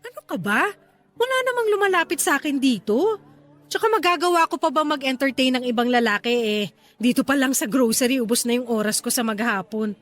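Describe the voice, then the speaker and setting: A woman's voice: sits high, animated, fairly quick, fairly bright tone. A Filipino woman playing a sweet, put-upon young shopkeeper in a radio drama. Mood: sweet, placating.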